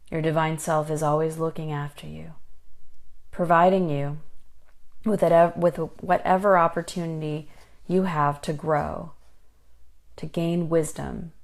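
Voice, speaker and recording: A woman leading a guided meditation in a soothing, unhurried manner, giving gentle instructions with pauses. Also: low-pitched, flat delivery, vocal fry. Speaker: meditation guide and life coach.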